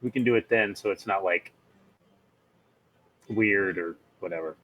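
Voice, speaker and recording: A man's voice: lowish voice, a little slow, fairly bright tone, rough recording. A man playing a tabletop role-playing game, talking casually as he works out a scene. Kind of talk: casual chat, planning a scene.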